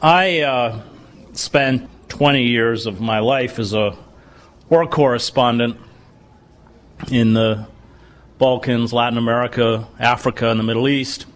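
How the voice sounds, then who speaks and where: A middle-aged man giving a lecture, speaking deliberately and pausing often with "uh" as he gathers his thoughts. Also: mid-range voice. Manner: deliberate, halting with many "uh"s. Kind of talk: bookstore lecture.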